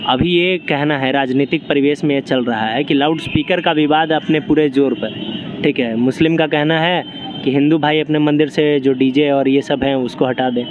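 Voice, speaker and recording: Guy doing street interviews, slightly high voice, some traffic noise. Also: fairly even intonation, normal speed, dull audio. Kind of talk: vox pop interviewing. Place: outdoors on a Patna street. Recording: noisy city street with traffic.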